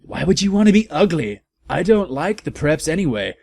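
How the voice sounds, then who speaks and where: A man's voice: a little high, very animated, normal speed, gravelly, a little mellow, husky, no echo, voice pushed up, full character voice. A man voicing a devoted, emotional teenage goth boyfriend in a comic story reading. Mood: scornful.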